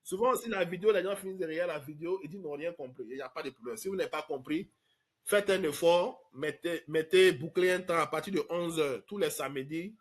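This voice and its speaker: A man's voice: on the high side, a little fast. A man from Côte d'Ivoire, hosting a live online question-and-answer talk in an emphatic way. He repeats words and drops English fillers. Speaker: trading course host answering questions.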